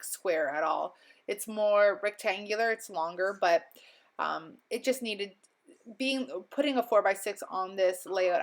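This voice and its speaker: A young woman recovering from a cold, narrating a crafting voiceover at home in a rambling, chatty way with lots of ums and little laughs. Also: medium pitch. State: slightly stuffy, recovering from illness. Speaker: crafting vlogger. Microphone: voiceover mic.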